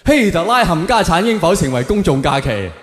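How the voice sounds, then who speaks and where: A male stand-up comedian with a Hong Kong Cantonese accent and a slightly high voice. He delivers his monologue sardonically and theatrically, prodding with rhetorical questions. The sound is echoey, with long hall reverb. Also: sing-song, a little fast.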